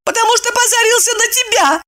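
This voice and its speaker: A woman voicing a melodramatic, indignant young woman in an audiobook, shrill and exclamatory as she scolds her friend. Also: lively intonation, moderate pace, sharp tone, dry recording, full character voice. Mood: lamenting, mocking.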